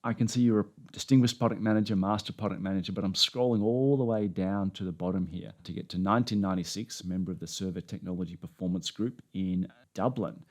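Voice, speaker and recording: Deep-voiced man hosting. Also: fast, few pauses, darkish tone. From Australia. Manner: rambling, anecdotal, self-deprecating. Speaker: podcast host. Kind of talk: casual interview chat.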